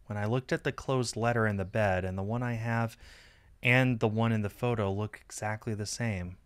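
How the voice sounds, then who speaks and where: A man's voice: on the low side, dry recording. A man reading a visual novel aloud on a stream as dramatic story narration.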